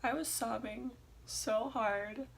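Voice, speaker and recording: High-voiced young woman with vocal fry. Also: steady tone, slow. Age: early 20s. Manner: informal, rambling, conversational asides. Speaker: vlogger. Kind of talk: casual chatty vlog.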